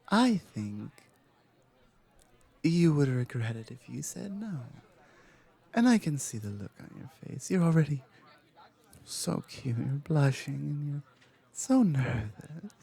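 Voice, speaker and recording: A woman playing a confident, flirtatious former classmate in an intimate ASMR roleplay, with a soft, breathy, coaxing delivery. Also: low voice, full character voice. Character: confident, flirtatious female former classmate confessing long-held desire.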